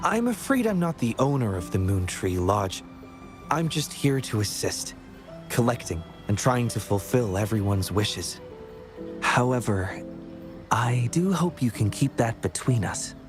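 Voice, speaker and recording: A man playing a gentle, modest young scientist in a video game, speaking in a polite, measured, reassuring way. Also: mid-range voice, big pitch swings, music in the background. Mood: polite, warm. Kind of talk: video game character acting.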